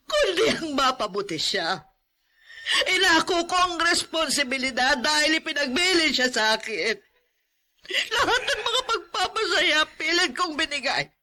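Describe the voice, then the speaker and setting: A wounded aunt in a radio drama, very high-pitched and piercingly bright. She pleads tearfully, full of self-pity and grievance. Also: exaggerated voice. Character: hurt, self-pitying older aunt who raised her nephew. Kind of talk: Filipino radio drama acting.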